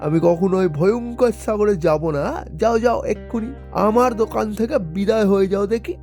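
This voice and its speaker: A man voicing a blunt, excitable village fishmonger in a cartoon, quick to take offence. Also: high voice, soft background music. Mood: indignant. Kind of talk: cartoon dialogue.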